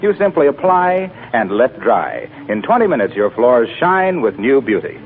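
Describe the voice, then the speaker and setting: A man announcing a 1940s radio show, reading scripted sponsor pitches with polished, emphatic salesmanship. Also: very animated, moderate pace. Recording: tinny 1940s radio broadcast.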